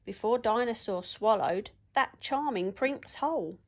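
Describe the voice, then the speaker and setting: A woman reads a rhyming picture book aloud to children. Her pitch swings in a lively sing-song, and she takes her time over the verse, with expressive storytelling throughout. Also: unhurried, slight background noise. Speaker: teacher reading aloud.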